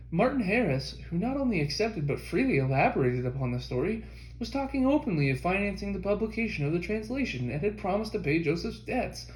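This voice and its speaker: A man reading a history book aloud as an audiobook narrator, in a measured scripted delivery. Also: a little high, darkish tone, echoey room, low-quality recording. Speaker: audiobook reader.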